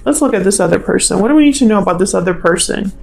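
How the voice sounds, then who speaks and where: A woman's voice: mid-range voice, expressive, rapid-fire, creaky, a little mellow, faint music behind. A woman giving an informal tarot reading as host, musing and thinking aloud in a tentative way. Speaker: tarot reader, channel host.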